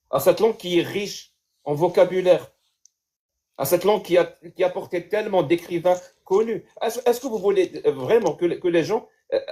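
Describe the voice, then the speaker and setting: A man's voice: high voice, darkish tone. A man with a Kabyle accent arguing earnestly and emphatically in a live debate, often stumbling over repeated words. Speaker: debate guest.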